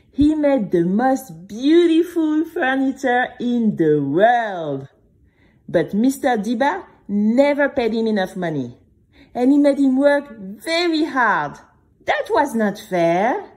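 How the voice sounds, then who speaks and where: Expressive, confiding young girl storyteller, played by a woman. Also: medium pitch. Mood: earnest. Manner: expressive, confiding, exclamatory, addressing listeners directly. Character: Bénie, chatty, dramatic, nervous young girl singer. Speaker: narrator and main character. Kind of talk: first-person audio drama storytelling for children.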